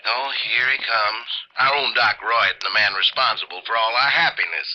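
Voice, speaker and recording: An actor playing a folksy, resentful small-town police chief in a 1950s radio drama. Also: male voice, mid-range voice, normal speed, bright, forceful tone. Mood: wry, resentful. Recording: muffled, noisy 1950s radio broadcast.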